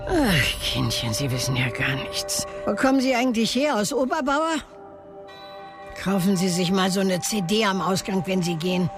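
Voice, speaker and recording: A gruff old local in a radio play, his voice fairly high for a man. He talks colloquially and patronizingly, like someone haunted by the past, with music playing clearly behind him. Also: expressive, moderate pace. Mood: scornful. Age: older. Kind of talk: naturalistic radio drama acting.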